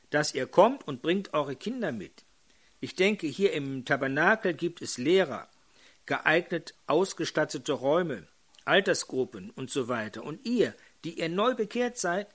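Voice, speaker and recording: Hoarse older preacher, slow and drawn out, kinda high voice, asks the crowd stuff. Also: male voice, a bit bright. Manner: addresses congregation with questions. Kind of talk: sermon.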